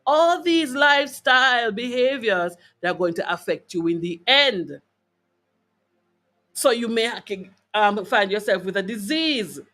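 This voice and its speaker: A woman's voice: fairly high pitch, measured pace, a bit bright. A woman with a Jamaican accent hosting a wellness broadcast, talking informally and emphatically to her online audience and checking in with short tag questions. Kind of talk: informal motivational health talk to a live online audience.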